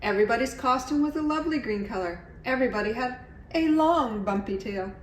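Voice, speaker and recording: A woman's voice: on the high side, animated, brisk, far from the mic. A middle-aged woman reading a children's story aloud expressively, as a storyteller. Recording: echoey room, faint background noise.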